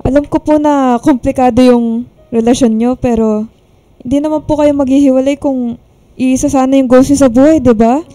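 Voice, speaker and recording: A woman's voice: sits high, fairly even intonation, moderate pace, mellow, no room sound, close to an everyday voice. A woman playing a timid, polite young adult Filipino daughter in a radio drama, speaking hesitantly and evasively. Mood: tentative.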